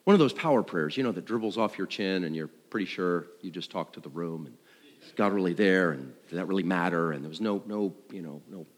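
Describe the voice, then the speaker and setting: A man tells a personal testimony to a congregation in a conversational, self-deprecating way, acting out dialogue and thoughts like an animated storyteller. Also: deep voice, a little fast. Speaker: worship leader. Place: large room, speaking to an audience. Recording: live hall with long reverb.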